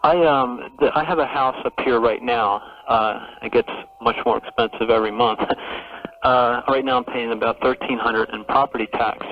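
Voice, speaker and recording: A man calling a radio show, talking casually in a hesitant, halting way. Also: medium pitch, fairly even intonation. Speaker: caller to a radio advice show. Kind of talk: casual phone conversation. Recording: narrow phone line with faint noise.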